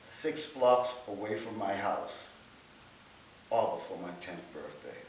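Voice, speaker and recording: Man reading dramatically in a very echoey room. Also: mid-range voice. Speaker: stage reader telling his life story. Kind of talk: rehearsed dramatic monologue reading. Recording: very echoey room, distant mic, faint hiss.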